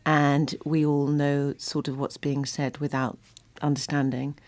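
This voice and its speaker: A woman's voice: sits low, little pitch movement, unhurried, a little mellow. A woman singer talking casually and reflectively in an interview, with modest, hesitant phrasing.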